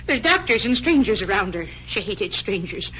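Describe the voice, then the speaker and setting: A woman's voice: medium pitch, sing-song, normal speed, close to an everyday voice. A woman playing a solemn, grieving, fiercely loyal housekeeper in a 1940s radio drama. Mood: grieving, agitated. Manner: solemn, ominous, insistent. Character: grieving, forbidding female housekeeper, fiercely loyal to her mistress. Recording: muffled, noisy 1940s radio recording.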